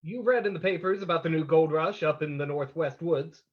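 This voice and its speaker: A man playing a suave, confident sleuth who takes charge, in old-time radio drama style, with a husky voice on a dull recording. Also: a little high, a little fast, close to an everyday voice. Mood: teasing, assured. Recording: dull, muffled sound.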